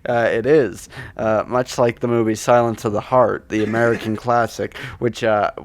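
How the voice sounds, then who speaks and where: A man's voice: fairly low pitch, level tone, normal speed, a little hiss or hum. A man co-hosting a casual comedy podcast, rambling and sweary, speaking close to the microphone. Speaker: podcast co-host.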